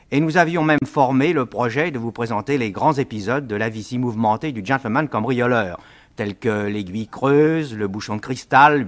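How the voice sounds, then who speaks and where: A man presenting a radio series in a courteous, formal, measured 1950s French broadcast manner. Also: medium pitch, a bit bright.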